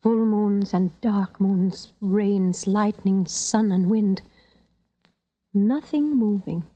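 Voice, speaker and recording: Slow, dark-voiced, brooding radio heroine. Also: female voice, medium pitch. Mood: awed. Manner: hushed, dramatic, poetic phrasing. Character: uneasy, brooding young woman, fatalistic and earnest. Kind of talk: 1940s-50s radio drama acting. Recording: muffled vintage radio drama recording.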